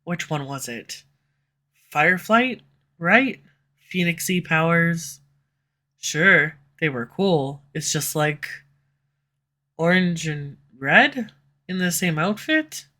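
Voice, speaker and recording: Woman doing a snarky villain, bright edgy voice, sarcastic teasing all the way through. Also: lowish voice, lively intonation, slow pace, pauses often. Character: snarky sharp-tongued villain, slightly tsundere. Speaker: audio roleplay voice actor. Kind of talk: scripted audio roleplay. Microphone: USB condenser mic.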